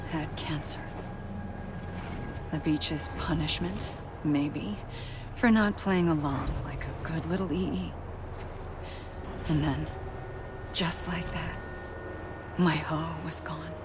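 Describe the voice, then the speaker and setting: An actress playing a weary but resolute woman leader, delivering a measured, reflective and breathy dramatic monologue in a cinematic game. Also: fairly low pitch, heavy background noise, lightly acted. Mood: sorrowful. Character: weary, resolute woman leader recounting her doomed mission. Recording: loud music under the voice. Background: loud cinematic score.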